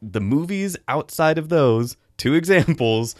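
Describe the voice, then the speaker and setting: A man's voice: fairly high pitch, lively intonation, measured pace, dry recording, studio quality. An American man hosting a review show, talking casually as he gives his take. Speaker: host of a film and book review show.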